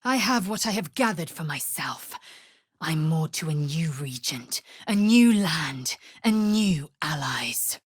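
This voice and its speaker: A woman playing a proud, fierce githyanki warrior in a video game, with a husky, raspy voice and a clipped, formal, commanding way of talking. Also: low-pitched, lively intonation, slow pace, dry recording, studio quality, exaggerated voice. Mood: stern, resolute. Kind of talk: video game character voice lines.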